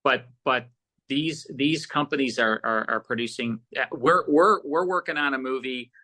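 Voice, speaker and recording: A man's voice: mid-range voice, normal speed, pushed and bright. An American man chatting casually as an interview guest, enthusiastic and often repeating his words as he goes. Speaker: podcast guest, audio producer.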